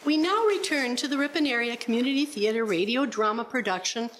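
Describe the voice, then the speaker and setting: A woman's voice: on the high side, lively intonation, brisk, background noise. A woman reading a scripted announcement for a radio drama.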